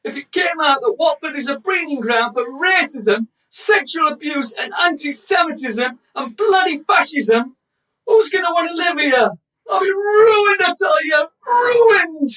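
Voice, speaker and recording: Radio drama: actress as female football club owner and property magnate. Blustering, exasperated, emphatic, higher-pitched, slightly off-mic. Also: expressive, a little slow, a bit bright. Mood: panicked, ranting. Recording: recorded at a distance from the mic.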